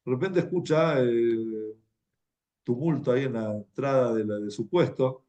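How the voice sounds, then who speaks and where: A man with an Argentine Spanish accent giving an informal religious talk, telling anecdotes in a halting, conversational way and repeating words as he goes. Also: mid-range voice, warm tone, dull audio. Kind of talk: informal sermon, anecdotal storytelling.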